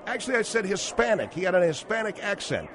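Halting male radio talk host. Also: sits high, sing-song, slight background noise. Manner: halting, many fillers, quizzing guests. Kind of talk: radio talk show hosting.

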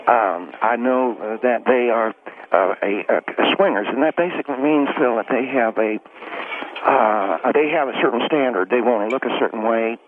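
Hesitant male guest on a tinny phone line, noisy, measured and halting. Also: medium pitch. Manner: halting, hesitant, many fillers. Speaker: youth ministry reverend guest. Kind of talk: phone-in interview.

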